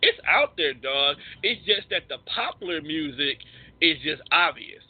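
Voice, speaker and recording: A man's voice: on the high side, animated, a little slow, slightly rough, dry recording. A man co-hosting a radio show, debating casually in a slangy, argumentative way. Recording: tinny, phone-like internet radio audio.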